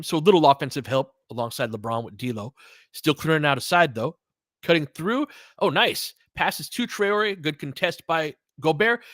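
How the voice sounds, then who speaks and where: A man's voice: medium pitch, sharp tone, no echo. A man giving casual, conversational basketball play-by-play and analysis, with short punchy calls and slangy language.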